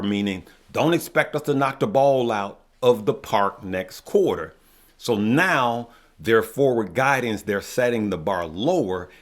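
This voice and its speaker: A man hosting a stock market video, losing his voice, who asks questions and then answers them himself and calls his viewers good people. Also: medium pitch. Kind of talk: casual finance talk to viewers.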